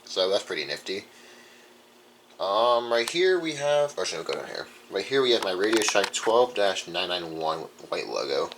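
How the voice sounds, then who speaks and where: A young man with a low voice talks informally, like a hobbyist showing off a collection. He rambles casually from item to item. There is some noise behind him in the room. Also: sing-song, normal speed, a bit bright, rough recording. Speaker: radio collector. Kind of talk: casual show-and-tell vlog. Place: indoor room.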